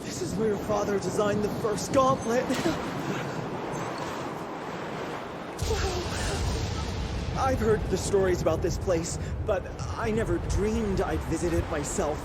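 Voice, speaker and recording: An actor playing an excitable, nerdy young inventor in a video game, eager, wordy and easily flustered. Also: male voice, high-pitched, moderate pace, very noisy. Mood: awed, excited. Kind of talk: video game cutscene acting.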